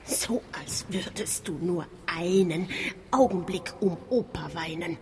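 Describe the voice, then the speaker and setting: A woman playing an exasperated, sharp-tongued daughter who scolds in rhymed radio drama dialogue. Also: lowish voice, slow pace. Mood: indignant. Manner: hissing, scolding. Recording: long hall reverb, clear ambient noise.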